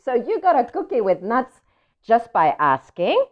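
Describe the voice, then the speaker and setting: A woman's voice: a little high, huge pitch swings, a little slow, pitched above the performer's own voice, exaggerated voice. A woman, a native Spanish speaker, voicing a grumpy, suspicious male storybook pigeon who complains with attitude. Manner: loud, exaggerated complaining. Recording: dull lo-fi audio.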